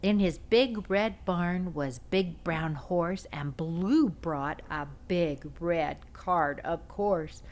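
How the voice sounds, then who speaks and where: A woman hosting a read-aloud for young children, telling a picture book in an expressive, simple storytelling style. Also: fairly low pitch, drawn-out words, few pauses.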